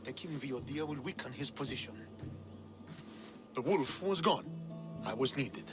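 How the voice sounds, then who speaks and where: An actor playing a bitter, proud young army commander in a video game, stern, scornful and curt, with dramatic delivery. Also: male voice, medium pitch, expressive, measured pace. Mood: grim, businesslike. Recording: music behind the voice.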